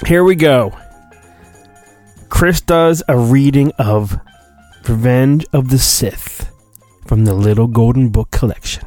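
A man's voice: mid-range voice, slow, long pauses, darkish tone, faint music behind. A man hosting a podcast, casual and self-deprecating, reading a children's storybook aloud in a playful mock-dramatic way. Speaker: podcast host.

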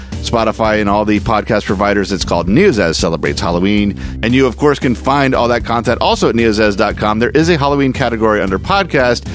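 A man hosting a podcast, delivering a scripted outro. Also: lowish voice. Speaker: podcast host.

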